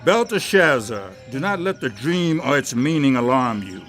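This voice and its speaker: A man's voice: medium pitch, big pitch swings, normal speed. An actor playing a proud, majestic king of ancient Babylon in a dramatized audio Bible, declaiming in a grand, regal manner. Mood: reassuring. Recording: loud music behind the voice.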